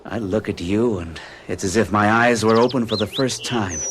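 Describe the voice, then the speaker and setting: A troubled young man, played by an actor, telling his story in a lowish, earnest voice. He hesitates as he recounts his growing unease. The delivery is classic radio drama. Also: normal speed, background noise, lightly acted. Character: troubled young American man, hero in love and afraid. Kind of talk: 1970s radio drama acting.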